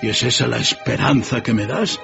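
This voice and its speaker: An actor playing a stubborn, anxious old miser in a radio drama, with a gravelly voice and a wary, dry, pleading manner. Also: male voice, mid-range voice, normal speed. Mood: wary, sardonic. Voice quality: creaky, gravelly. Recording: dull muffled recording under music.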